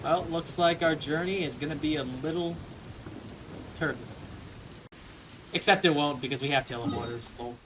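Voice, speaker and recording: A man's voice: on the high side, moderate pace, pauses often, fairly bright tone, a little hiss or hum, poor audio. A man giving casual let's play commentary, chatty, with wry asides and bits of dialogue read aloud. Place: voice-over with game music faintly behind.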